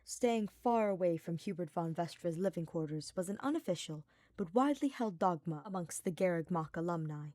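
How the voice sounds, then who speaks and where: Low-pitched woman narrating a story. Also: lively intonation, a little fast, few pauses, warm tone, no room sound. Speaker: audiobook-style fan fiction narrator.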